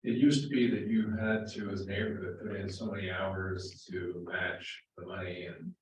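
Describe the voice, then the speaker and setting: A man with a low voice, speaking in a measured way about how things were done in the past. He is heard from a distance in a very echoey community room with faint noise. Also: steady tone. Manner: recalls past procedures, measured. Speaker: resident at meeting.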